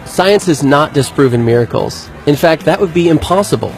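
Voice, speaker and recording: A man's voice: a little high, animated, normal speed, soft background music. An actor playing an earnest male believer who explains his case methodically and articulately in a scripted skit. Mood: confident.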